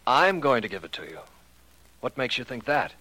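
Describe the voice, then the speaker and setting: A tired young male scientist in an old radio drama. His mid-pitched voice is curt and dismissive, with an irritable, defensive edge. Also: sing-song, moderate pace, bright, forceful tone, rough recording. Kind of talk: 1940s radio acting. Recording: vintage 1940s radio recording.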